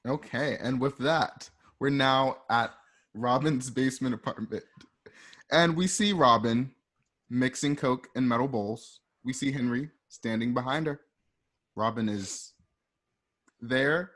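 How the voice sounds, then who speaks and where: A man with a medium-pitched voice serves as narrator for a radio drama. He reads out scene directions informally, a little haltingly, dropping in asides. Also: a bit bright.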